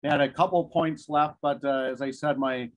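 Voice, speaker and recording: Middle-aged man, fairly high voice, flat monotone delivery, measured interview guest, webcam audio. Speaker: company executive guest. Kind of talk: casual chat over video call. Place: office room with a wall map, indoors. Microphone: video call webcam.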